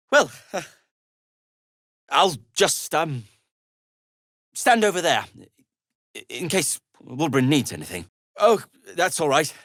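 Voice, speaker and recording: A man's voice: on the high side, a bit bright, dry recording, clean studio sound, heavily acted. A man playing a fussy, loyal, long-suffering middle-aged gnome in a video game, hesitant and stammering, with wry, formal wording. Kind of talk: video game character acting.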